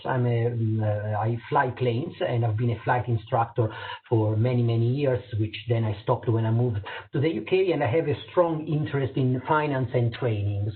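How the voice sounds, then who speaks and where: A middle-aged man with an Italian accent presents to fellow doctors over a video call, pausing often on fillers and restarting his phrases. His voice sits at an ordinary pitch for a man. The sound is thin and narrow, like a phone line. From Italy. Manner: hesitant, many fillers and restarts. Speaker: eye surgeon and pilot giving a talk. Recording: tinny phone-like audio.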